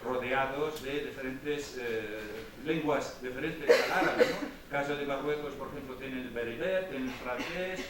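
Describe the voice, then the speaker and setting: A man whose first language is Arabic, giving a university lecture with hesitant pauses and restarts. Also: medium pitch. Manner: hesitant, with many fillers and restarts. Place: lecture hall. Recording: echoey hall, mic far off, noisy.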